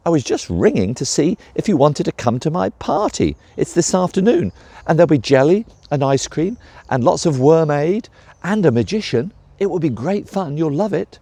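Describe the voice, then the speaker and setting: A man's voice: fairly high pitch, big pitch swings, moderate pace, mellow, dry recording, pitched above the performer's own voice. A man voicing a tiny, chirpy female squirrel with a light, raised voice.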